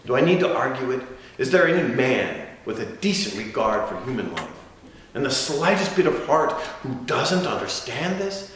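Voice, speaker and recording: A man playing a veteran defense lawyer in a theatrical courtroom monologue, pleading with oratorical, impassioned phrasing and dramatic pauses. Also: mid-range voice, very animated, a bit bright. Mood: hushed, grave, then accusing. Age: older. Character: veteran male defense lawyer pleading passionately for young clients' lives. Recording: very echoey room, faint noise.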